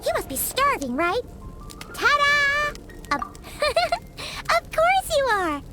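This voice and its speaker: Game casting: tiny caring sidekick, extremely high, swooping, music behind. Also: female voice, big pitch swings, drawn-out words, exaggerated voice. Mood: cheerful. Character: tiny, squeaky, cheerful, caring companion. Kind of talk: video game voice acting. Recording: loud background music.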